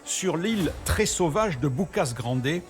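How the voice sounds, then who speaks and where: A man's voice: on the high side, animated, unhurried. A man narrating a scripted travel report as a television news reporter. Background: background music.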